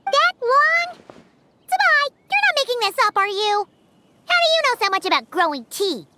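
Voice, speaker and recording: Tiny squeaky game fairy, super high and bouncy, cheeky little kid energy. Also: female voice, big pitch swings, normal speed, background noise. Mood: concerned, cheeky. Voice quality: squeaky, childlike. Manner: exclamatory, refers to self by name. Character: tiny childlike floating fairy companion, bubbly and cheeky. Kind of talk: video game voice acting.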